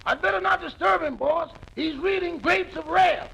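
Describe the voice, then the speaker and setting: An actor playing a cheeky, complaining servant with a raspy voice in 1940s radio comedy. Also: male voice, very high voice, normal speed, pushed and bright, exaggerated voice. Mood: cheeky, grumbling. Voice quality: raspy, gravelly. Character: wisecracking servant, gravel-voiced, cheekily complaining to his boss. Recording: noisy old radio recording.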